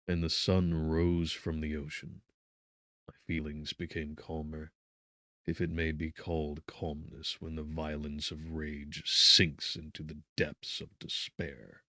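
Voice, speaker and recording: A man narrating a gothic novel as an audiobook in a solemn, measured, theatrical manner. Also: very deep voice, dry recording, studio quality.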